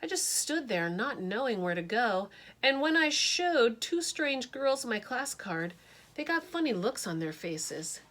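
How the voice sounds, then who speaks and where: A woman, a teacher, reading a children's picture book aloud in an expressive storytelling manner. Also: on the high side, a little slow.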